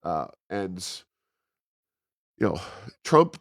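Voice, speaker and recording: American male podcast commentator, lowish voice, measured and halting, very dry recording. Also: big pitch swings, creaky, fairly bright tone, no room sound. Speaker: podcast co-host giving political commentary. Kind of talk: conversational political commentary.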